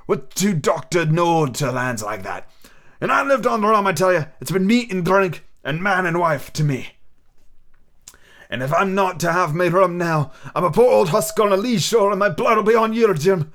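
A man voicing an old, sick, drunken sea captain with gruff sailor's talk. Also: high-pitched, animated, normal speed, fairly bright tone, pitched above the performer's own voice. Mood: blustering.

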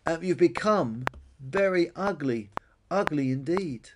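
A preachy storybook brontosaurus, voiced by a man, high and earnest. The voice swings up and down as it admonishes, over faint background noise. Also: expressive, normal speed, natural voice. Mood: warning. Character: preachy, well-meaning long-necked brontosaurus, earnestly scolding. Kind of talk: children's storytelling character voice.

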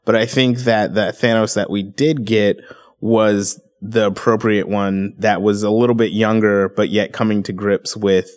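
Low-voiced guy musing through his take, kinda skeptical, laid back podcast chat. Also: steady tone, a little slow.

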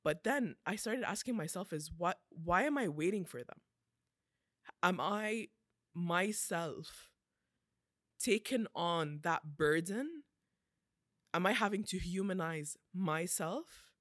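A woman's voice: fairly low pitch, animated, takes it slowly, pauses often, no echo. A woman in her twenties hosts a podcast and shares a personal reflection. She talks earnestly, and her intensity builds as she goes. The recording is dry and close. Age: 20s. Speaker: podcast host.

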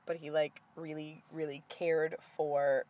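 A woman's voice: low voice, a little slow, dull audio. A woman in casual conversation, retelling a film's scenes with lots of quoted dialogue and filler words. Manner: animated retelling with quoted lines, frequent "like". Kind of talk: casual movie recap chat.

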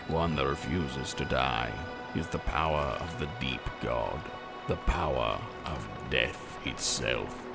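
A man performs as a grave fantasy epic narrator with an extremely low, creaky voice. He speaks slowly and ominously, weighing each phrase, while loud music plays underneath. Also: exaggerated voice. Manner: grave, deliberate, portentous. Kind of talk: scripted fantasy audio drama narration.

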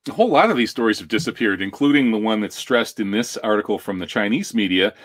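An American man with a fairly low voice hosts a news commentary show. He talks conversationally, with a wry, tongue-in-cheek edge. The sound is dry, with very little room around him. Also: expressive, fast talker, no room sound.

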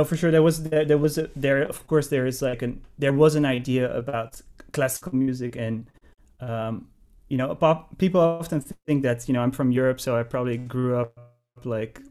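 A man's voice: medium pitch, fairly even intonation, moderate pace, a little mellow. A man, a jazz pianist and composer chatting in an interview, thoughtful and full of restarts. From Netherlands. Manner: thoughtful, rambling with many restarts and fillers. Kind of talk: casual interview chat.

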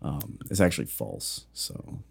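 Deep-voiced man rambling in casual chat. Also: sing-song, unhurried, gravelly, breathy edge. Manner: rambling, many fillers and restarts, jokey. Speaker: podcast co-host.

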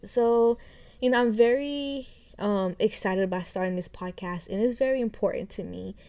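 Mellow-voiced woman in her twenties hosting. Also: mid-range voice, normal speed. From Haiti. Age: 20s. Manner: chatty, many fillers like "like" and "um", frequent light laughs. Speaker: podcast host. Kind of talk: casual podcast intro monologue.

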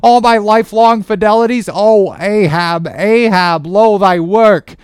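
A man's voice: high voice, a little slow, smooth, dry recording, clean studio sound, voice pushed up. A man voicing a pious, panicking whaling first mate with anguished, pleading exclamations, in audiobook style. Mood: panicked, pleading. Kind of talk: dramatic audiobook character acting.

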